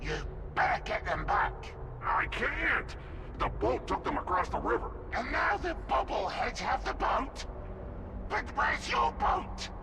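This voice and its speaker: A man's voice: high voice, big pitch swings, unhurried, vocal fry, heavy background noise, heavily acted. A man voicing a crude, bickering ghoul henchman in a video game. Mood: nervous, scolding. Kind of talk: video game character acting.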